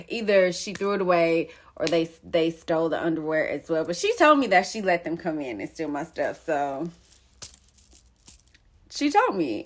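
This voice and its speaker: A young woman telling a personal story in a candid, rambling way, full of "like" and "um". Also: fairly low pitch. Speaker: poet and storyteller vlogger. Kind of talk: casual storytelling monologue.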